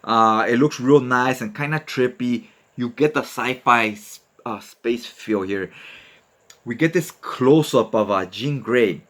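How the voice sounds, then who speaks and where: A man narrates a comic book story as its host. He talks informally, with slang and casual commentary, like chatting with friends, in a medium-pitched voice. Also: unhurried, fairly bright tone.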